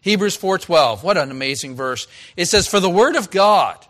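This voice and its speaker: A pastor with a raspy voice preaching a sermon in a folksy, chatty way, asking the congregation questions. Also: male voice, high-pitched, expressive, normal speed, fairly bright tone. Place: church.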